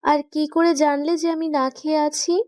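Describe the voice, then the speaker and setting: A woman's voice: sits high, level tone, a little slow, darkish tone, dry recording, clean studio sound. A woman playing an anxious, affectionately bossy young woman in love in a romantic audio story, pleading and insistent.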